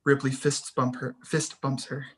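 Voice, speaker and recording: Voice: medium pitch, little pitch movement, a little slow, fairly bright tone. A person reading scene headings and stage directions as scripted narration for a screenplay table read.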